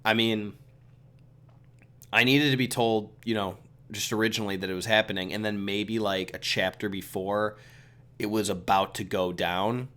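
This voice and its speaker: A man who is a little sick hosting a relaxed, chatty solo review, giving his opinions with lots of fillers. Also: on the low side, normal speed. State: sick with a cold. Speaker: video host reviewing a comic chapter. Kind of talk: casual solo commentary.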